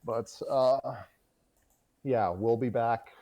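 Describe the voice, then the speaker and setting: A man hosting a casual live chat in a halting, hesitant way. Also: mid-range voice, mellow. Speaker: host.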